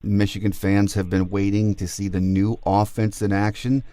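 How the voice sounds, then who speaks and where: A man's voice: lowish voice, level tone, normal speed, mellow, no room sound. A man hosting a sports podcast, giving commentary on football and interviewing guests. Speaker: podcast host.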